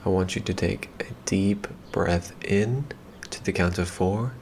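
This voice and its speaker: Ambient noise sits softly around a man with a fairly low voice. He guides a meditation gently and calmly, giving slow, soothing instructions with long pauses.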